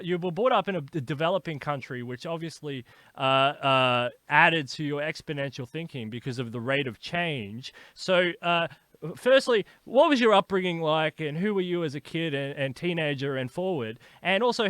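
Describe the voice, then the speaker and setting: A man hosting a podcast interview, asking long, animated questions with frequent stammers and restarts. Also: fairly high pitch, no echo. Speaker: podcast host.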